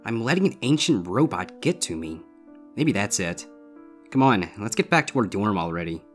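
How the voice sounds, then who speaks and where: Man voicing an anxious young griffin, higher-pitched. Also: very animated, moderate pace, soft background music. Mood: grumbling, uneasy. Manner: hesitant, uneasy, muttering. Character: anxious young male griffin student, grumbling, defensive. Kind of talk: fan fiction audiobook character voice.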